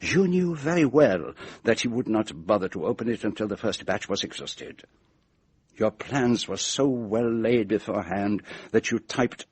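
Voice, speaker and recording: An actor playing a shrewd, methodical detective in a radio mystery drama, speaking formally and deliberately as he sets out an accusation. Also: fairly low pitch, darkish tone, breathy edge. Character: shrewd, methodical male detective laying out his case.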